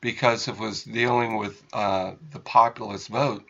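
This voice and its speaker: An American man giving unscripted political commentary as a radio host, in halting, deliberate phrases with emphasized words. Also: lowish voice, steady tone, vocal fry, pushed and bright, lo-fi sound.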